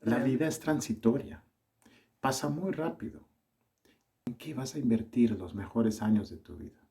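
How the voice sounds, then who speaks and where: Salvadoran-accented male preacher, mellow tone, earnest and measured sermon delivery. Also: medium pitch, lively intonation. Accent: Salvadoran with Colombian touches.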